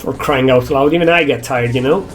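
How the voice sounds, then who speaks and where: A young man with an Italian accent talks through his theories while playing, working things out slowly in English that isn't his first language. His voice sits at a mid pitch, with faint game music behind him. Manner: thinking aloud, theorizing, halting non-native English. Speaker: streamer. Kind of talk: casual gameplay commentary.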